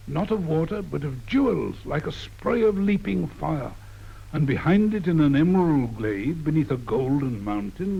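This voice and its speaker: A man's voice: on the high side, gravelly, warm tone, husky. A man reading his own poem aloud in a rhythmic, sing-song recitation, on a dull old tape recording. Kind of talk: poetry recitation.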